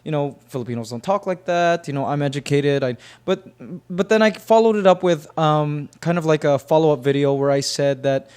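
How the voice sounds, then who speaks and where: A man's voice: on the high side, lively intonation, brisk, hardly any pauses, darkish tone, clear, resonant tone. A man from Canada, a guest on a podcast, talking things through thoughtfully with many restarts. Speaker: guest, YouTube comedian. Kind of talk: casual podcast interview.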